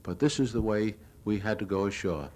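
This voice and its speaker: Older male, American, lowish voice. Reporter's measured, plain-spoken lecture narration of war film, archival 1970s recording. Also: a little mellow. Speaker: newsreel reporter giving a lecture.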